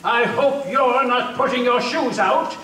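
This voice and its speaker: A man's voice: very high voice, a little slow, pushed and bright, slight background noise, full character voice. An actor playing a stern, disapproving Puritan man in a puppet show. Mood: scolding. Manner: stern, scolding. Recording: very echoey room, distant mic.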